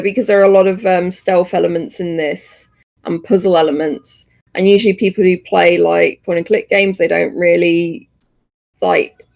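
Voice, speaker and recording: A woman co-hosting a podcast, chatting casually about games in a hesitant, halting way. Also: fairly low pitch, a little mellow. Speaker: podcast co-host.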